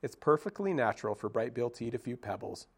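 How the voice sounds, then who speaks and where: A man's voice: medium pitch, very animated, fast. A man voicing a protective, bossy but kind old female goose in a storybook reading. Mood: kindly. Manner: forceful and scolding.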